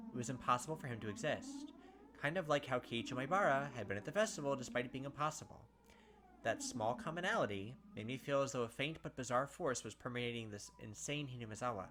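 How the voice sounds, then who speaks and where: A man hosting a gaming video, reading a visual novel aloud with animated, blunt casual commentary. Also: medium pitch, slight background noise.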